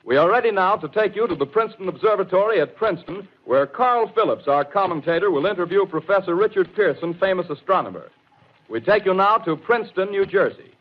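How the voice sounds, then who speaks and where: An actor playing a formal, urgent news bulletin announcer in a 1930s radio drama. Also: male voice, high voice, lively intonation, brisk. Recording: muffled old radio recording.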